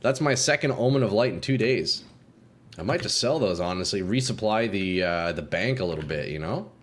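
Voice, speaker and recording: A young man doing casual live commentary while playing a game, a bit congested and clearing his throat often, swearing and talking to chat. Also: lowish voice, lively intonation, normal speed. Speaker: game streamer.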